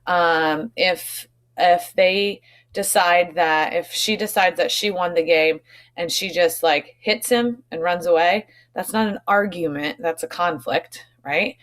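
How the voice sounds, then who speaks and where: A woman's voice: on the low side, slow, fairly bright tone. A woman elementary school teacher explaining vocabulary to children in an instructive way, often checking in with short tag questions.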